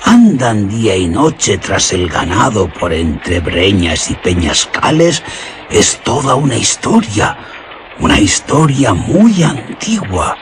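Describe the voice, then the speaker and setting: A man's voice: fairly low pitch, a little hiss or hum. A man playing a rustic herdsman who tells an old legend in a mysterious, suspenseful radio drama manner. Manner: mysterious, drawn-out, suspenseful storytelling.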